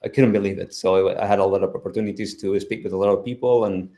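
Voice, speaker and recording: A man's voice: fairly low pitch, steady tone, quick pace, mellow. A middle-aged man from Spain with a Spanish accent, speaking earnestly on a live stream panel, with many restarts. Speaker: foundation founder, panel guest.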